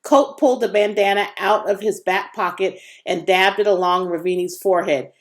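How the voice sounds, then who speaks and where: A woman reading a children's novel aloud as an expressive storyteller, with dramatic emphasis. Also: medium pitch, moderate pace. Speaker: audiobook reader.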